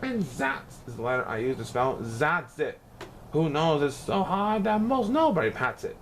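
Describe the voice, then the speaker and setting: A man reading a rhyming children's story aloud in an expressive, playful, sing-song way. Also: on the high side, moderate pace, slight background noise. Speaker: picture book reader.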